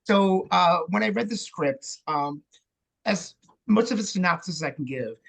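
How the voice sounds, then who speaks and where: A man's voice: sits high, expressive, moderate pace, creaky, fairly bright tone. Candid man. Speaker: interview guest, theatre director and playwright. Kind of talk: casual conversational interview.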